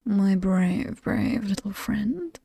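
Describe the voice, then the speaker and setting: An alluring, wealthy British demoness with playful menace, acted by a woman. She speaks slowly and intimately close, in a soft dark tone that frays into creak and slips into whispers. Her manner is teasing, aristocratic and coolly amused, made for horror ASMR. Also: medium pitch, lively intonation, dry recording, heavily acted. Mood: teasing threat. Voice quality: breathy, often dropping to a whisper. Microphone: close, dry microphone.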